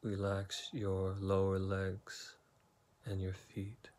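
Male meditation guide, very slow delivery with long pauses, lowish pitch, soothing. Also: completely flat delivery, darkish tone, slight background noise.

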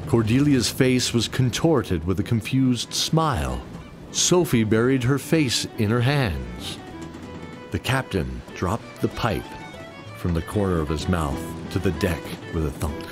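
A man narrating a radio play in a deliberate, descriptive, dramatic storytelling style. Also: lowish voice, expressive. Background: music bed.

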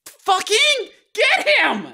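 A man's voice: extremely high pitch, wildly swooping pitch, extremely slow, gravelly, bright, forceful tone, no echo, studio quality. Mock-outraged man bantering on a podcast. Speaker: comedy advice podcast host. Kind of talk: improvised comic banter.

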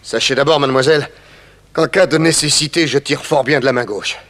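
An actor playing a shrewd, self-assured detective in a radio serial, with formal, precise diction. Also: male voice, mid-range voice, a little fast, a bit bright, lightly acted. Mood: triumphant, methodical. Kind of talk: old French radio serial acting.

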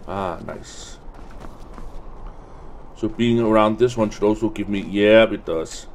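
A man's voice: fairly low pitch, slow pace. A man giving casual gameplay commentary, thinking aloud in hesitant mutters. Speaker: let's play host. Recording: constant background noise and game ambience.

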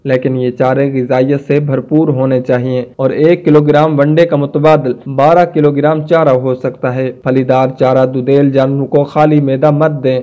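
A man's voice: medium pitch, mellow. A man with a Pakistani accent reading scripted farming advice as a narrator in a formal, instructive manner. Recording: dull, muffled lo-fi voice-over.

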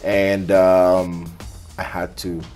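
A man speaks in a very low voice as an interview guest. He tells personal stories expressively, acting out the exchanges. Music plays faintly behind him. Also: very slow. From Ghana, long life in Kenya. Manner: expressive, acts out quoted exchanges, laughs while talking. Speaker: podcast guest, cyber security specialist. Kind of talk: animated personal storytelling in an interview.

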